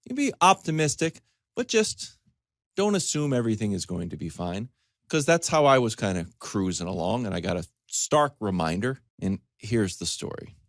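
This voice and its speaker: Man in his forties, low pitch, very dry sound, casual comedic storyteller, animated delivery, choppy conversational asides. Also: normal speed, no room sound. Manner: animated, acts out quotes in dialogue. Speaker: sports talk show host.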